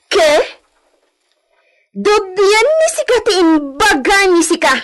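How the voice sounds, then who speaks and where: A woman's voice: very high-pitched, very animated, slow pace, exaggerated voice. A woman with a Filipino accent playing a haughty, bossy young rich senorita in a radio drama, scolding imperiously. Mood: angry. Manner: imperious, scolding, sharp exclamations.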